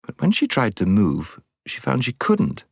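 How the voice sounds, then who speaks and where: A man narrating a storybook as an audiobook reader, with dramatic, measured phrasing. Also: medium pitch, wildly swooping pitch, vocal fry, a little mellow, slightly rough, no room sound, studio quality.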